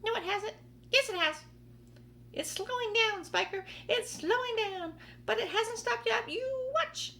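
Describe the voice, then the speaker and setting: A woman voicing a greedy, bossy aunt in a shrill, screechy, squealing voice. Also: unhurried, bright, forceful tone, full character voice. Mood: bickering.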